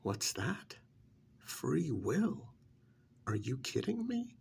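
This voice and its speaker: Slow, gravelly, sardonic vampire recruiter played by a man. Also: lowish voice, slight background noise. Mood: mocking, sardonic. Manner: sardonic, rhetorical, drawn-out pauses and prodding questions. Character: cynical male vampire's recruiter, sardonic and persuasive. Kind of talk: ASMR role-play monologue.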